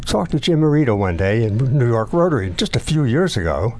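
A man in his nineties, a war veteran, recalling memories in an interview in a halting, reflective way. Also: mid-range voice, big pitch swings, a little mellow. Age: 90s.

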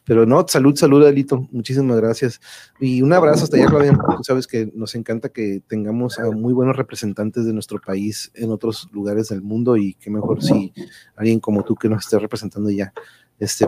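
A man's voice: mid-range voice, fairly even intonation, normal speed, warm tone, no echo. A man with a Mexican accent hosts an informal online live show in a middle-range voice. He talks sociably and casually, slipping in the odd English word. The sound is dry and close. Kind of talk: casual live-stream chat.

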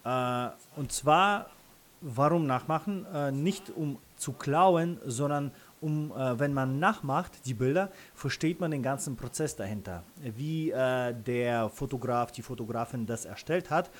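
A man with a Russian accent, a native Russian speaker, answering interview questions casually in halting, self-correcting phrases. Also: mid-range voice. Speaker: interview guest, freelance photographer and videographer.

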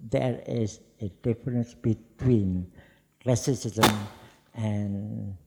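An older man, a poet answering questions on stage in halting, restarting speech. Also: on the low side, steady tone, vocal fry, very noisy. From Java, Indonesia. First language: Javanese. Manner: halting, searching for words, many restarts. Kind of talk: unscripted panel answers. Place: festival hall stage. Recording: long hall reverb.

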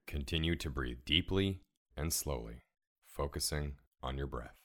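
Very deep-voiced man guiding meditation. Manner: calm, soothing, measured, instructional. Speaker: meditation guide, podcast host. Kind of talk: guided relaxation meditation narration.

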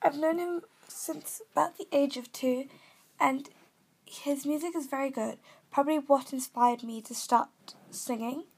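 A woman's voice: high-pitched, unhurried. A young woman from Kenya with a British accent, earnestly reading out her own movie and song reviews. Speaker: vlogger reviewing movies and music. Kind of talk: scripted review read aloud.

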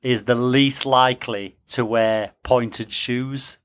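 A man's voice: medium pitch, steady tone, slow pace, fairly bright tone, smooth. A man co-hosting a podcast from a remote link, trading casual banter and dry jokes. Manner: dry, joking asides. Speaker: podcast co-host and teacher. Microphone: remote connection.

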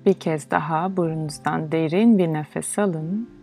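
A woman with a fairly low voice leads a guided relaxation meditation. She speaks in a soothing, measured manner, pausing between phrases, with music clearly behind her. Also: a little mellow. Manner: slow, soothing, measured delivery with pauses. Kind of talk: guided yoga nidra meditation, instructional.

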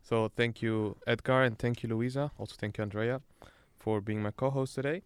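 A man's voice: medium pitch, fairly even intonation, normal speed, clean tone, dry recording. A young man hosting a student podcast, casual and hesitant with frequent ums. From Egypt and the Netherlands. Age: young adult. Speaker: podcast host. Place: small studio.

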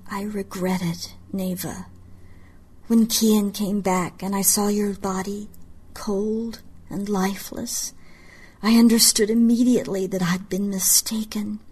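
A woman's voice: mid-range voice, steady tone, slow, darkish tone, slightly rough. A woman voicing a grieving, scheming queen who speaks in a pleading whisper. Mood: whispered regret. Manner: pleading, intimate.

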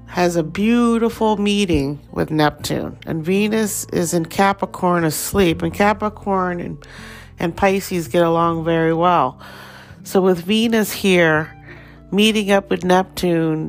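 A woman with a Thai accent giving a relaxed, rambling daily astrology forecast as host. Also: lowish voice.

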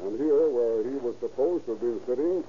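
Man acting a methodical, dark-toned homicide captain. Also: medium pitch, close to an everyday voice. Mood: methodical, suspicious. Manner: deliberate, deductive, authoritative. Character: shrewd, methodical male police captain, homicide detective. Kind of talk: 1940s radio crime drama acting. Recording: muffled, noisy old radio recording.

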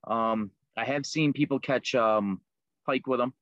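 A man's voice: mid-range voice, a little slow, fairly bright tone, no echo, lo-fi sound. A man guest talking casually about the fishing lures he makes, easygoing, with many ums and fillers. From Liverpool, New York. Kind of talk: casual interview chat.

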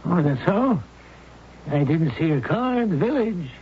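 A man's voice: fairly high pitch, big pitch swings, measured pace. An actor playing a friendly rural male storekeeper with homespun, folksy speech in a 1970s radio drama. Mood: neighborly. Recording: dull old radio broadcast with faint hiss.

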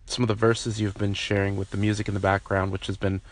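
A man's voice: lowish voice, little pitch movement, fast, poor audio. A man hosting a faith podcast, talking earnestly and loosely, with fillers and restarts. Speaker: podcast host. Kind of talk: casual faith podcast talk.

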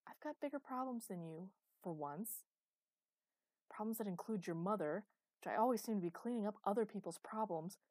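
A woman's voice: medium pitch, lively intonation, moderate pace, darkish tone, no echo, natural voice. A woman voicing an ancient, imperious female underworld ruler, bitter and sardonic, in a dramatized story. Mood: sad, resigned. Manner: bitter, sardonic, hissing outbursts.

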